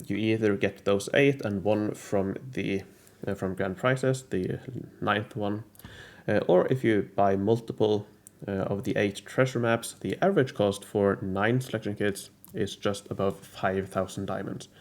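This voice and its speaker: A man explaining a game strategy in a casual, methodical walkthrough, his voice a bit rough from a cold, pausing often with uh. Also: on the low side. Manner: methodical, hesitant with frequent uh fillers. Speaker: strategy game guide creator.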